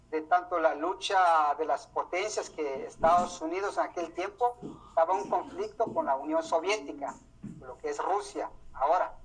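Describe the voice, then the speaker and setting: Man, middle-aged, Mam speaker, husky, halting with many fillers, radio recording. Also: on the high side. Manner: hesitant, many fillers and restarts. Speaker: author presenting his book. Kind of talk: unscripted talk. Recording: muffled radio broadcast sound.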